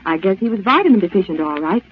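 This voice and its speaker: A woman acting an animated, confiding housewife. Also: mid-range voice, fast, a little hiss or hum, lightly acted. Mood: chatty, persuasive. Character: chatty, confiding housewife selling vitamins. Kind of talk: 1940s radio advertisement. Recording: narrow, tinny 1940s radio commercial.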